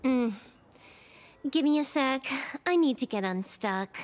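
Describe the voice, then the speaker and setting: A woman's voice: high-pitched, animated, measured pace. A woman playing a cocky, playful young hacker in a video game, nonchalant and teasing as she drawls. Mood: nonchalant, mildly annoyed. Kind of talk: scripted video game character acting.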